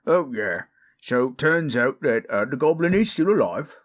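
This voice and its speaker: A man playing a gruff, weary but good-hearted orc adventurer in a fan audio drama, blunt and grumbling. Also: medium pitch, animated, measured pace. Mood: hesitant.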